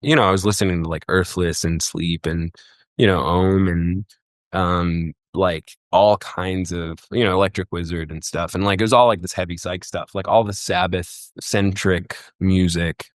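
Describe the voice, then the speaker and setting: A young man from Egypt with a very low voice talks casually on a podcast, circling his thoughts with lots of fillers and restarts. The sound is dry and close, with no room around it. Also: steady tone, normal speed, dry recording. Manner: rambling, many fillers and restarts. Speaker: guest, festival booker.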